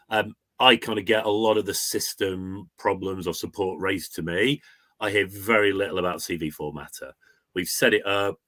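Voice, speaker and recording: A man's voice: lowish voice, fairly bright tone, dry recording. A man speaking conversationally as a business guest, giving an informal testimonial. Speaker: recruitment agency client guest.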